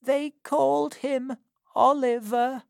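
A woman's voice: high-pitched, fairly even intonation, slow, gravelly, darkish tone, no room sound, clean studio sound, pitched above the performer's own voice, exaggerated voice. A woman voicing a dying old woman in a workhouse, weak and confiding.

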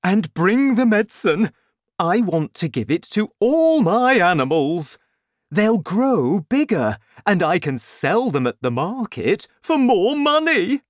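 A woman voicing a kind but greedy, excitable father in a children's storybook, loud and exclaiming. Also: extremely low pitch, takes it slowly, hardly any pauses, no room sound, studio quality. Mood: eager, greedy.

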